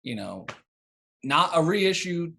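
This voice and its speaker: Bright-voiced man in casual conversation. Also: a little high, big pitch swings, takes it slowly, vocal fry. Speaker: interview guest, cassette exchange organiser.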